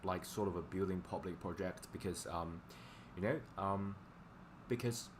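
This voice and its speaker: A man who is a native Chinese speaker chats casually on a livestream as a language teacher, speaking in a halting, repetitive way over noisy audio. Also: low-pitched. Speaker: language teacher live-streamer.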